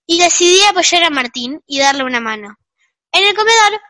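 Earnest, resolute schoolgirl storyteller for a radio play, played by a female, piercingly high, dry close sound. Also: pushed and bright, no echo. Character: determined schoolgirl narrating how she stood up.

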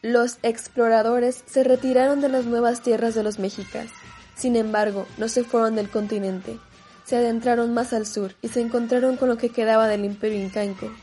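A female narrator for a scripted radio drama. Her voice is mellow and slightly high. Faint music plays behind her. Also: fairly even intonation, moderate pace.

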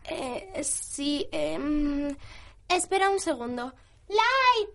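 Radio drama child, female actor: piping, slow, hesitant girl, faint noise. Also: high-pitched, sing-song, creaky, a bit bright, full character voice. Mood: curious.

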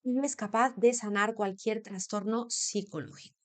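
A woman's voice: medium pitch, steady tone, normal speed. Woman presenter introducing a guest. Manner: welcoming, formal presenter. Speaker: interview host. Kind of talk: scripted introduction.

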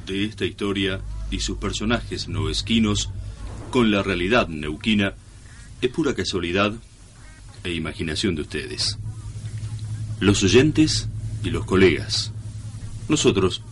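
Very deep theatrical Argentine radio narrator played by a man. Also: animated, unhurried, pauses often, slight background noise. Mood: mock-solemn. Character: mock-solemn male radio serial narrator, teasing the listeners. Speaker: announcer of a radio serial. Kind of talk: scripted radio drama narration, mock disclaimer.

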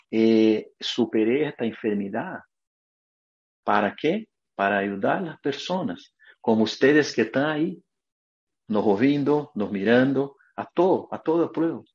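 An earnest man from Brazil speaking Spanish with a Brazilian Portuguese accent. He talks in short, emphatic, motivational phrases at a middling pitch. The recording sounds a little dull and lo-fi. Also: a little mellow. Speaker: naturopath guest. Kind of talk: video interview.

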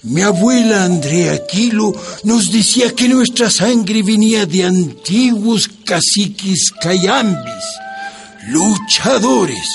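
Slow Andean peasant narrator, high-voiced. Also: male voice, expressive, gravelly, full character voice. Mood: solemn, sorrowful. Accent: Ecuadorian Andean. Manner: solemn storytelling. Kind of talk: scripted radio drama narration. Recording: music bed under the voice.

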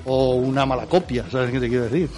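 A man's voice: mid-range voice, brisk, a little mellow. A man from Spain with a Spanish accent doing joking radio chat, theatrical and repeating himself for emphasis. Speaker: comic regular of a radio show segment.

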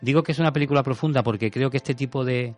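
A man's voice: mid-range voice, quick pace, faint music behind. A man on a radio show talking about a film in a thoughtful, rambling way, with many restarts. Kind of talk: casual radio chat. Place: radio studio.

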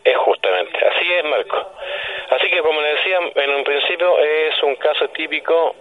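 A man's voice: on the high side, normal speed. A man with a Chilean accent explains things conversationally as a guest on a radio interview, punctuating statements with questioning hums. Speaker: guest paranormal commentator. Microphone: telephone. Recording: narrow, dull phone-line sound.

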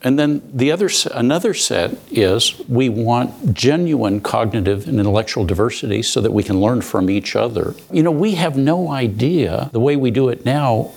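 A man's voice: medium pitch, moderate pace, vocal fry, hardly any pauses, darkish tone. A man speaking unscripted as a panelist, thinking aloud and rephrasing as he makes his argument.

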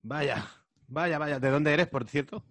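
Teasing man from Madrid, fairly high voice. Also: slow pace, fairly bright tone, no room sound. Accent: Madrid Spanish. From Madrid, Spain. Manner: joking, teasing, colloquial. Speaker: host. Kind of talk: casual group chat.

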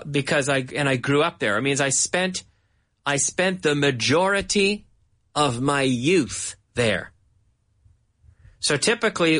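A Canadian man giving a bilingual English lesson, speaking slowly and repeating words over and over so learners can catch the sounds. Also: a little high, a bit bright. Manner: slow, repeats words for drilling. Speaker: English teacher.

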